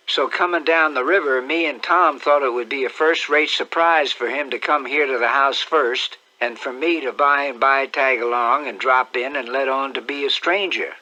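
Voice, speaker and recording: A man's voice: medium pitch, pushed and bright, pitched above the performer's own voice, rough recording. A man voicing a playful, cocky teenage country boy who talks cheekily.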